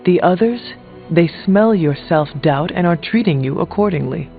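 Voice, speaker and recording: Male earnest game warrior, fairly high voice, formal and blunt, on thin phone-like audio. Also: animated, creaky. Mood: stern. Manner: measured, formal, direct. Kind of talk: scripted video game dialogue. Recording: phone-like narrow band, music behind.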